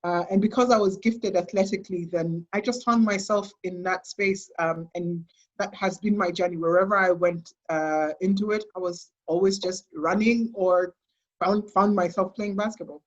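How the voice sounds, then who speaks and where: A woman's voice: medium pitch, steady tone, moderate pace, dull audio. A young adult Kenyan woman with a Kenyan accent, a guest talking enthusiastically in a casual interview, with frequent laughs and restarts. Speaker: guest, sports consultancy CEO.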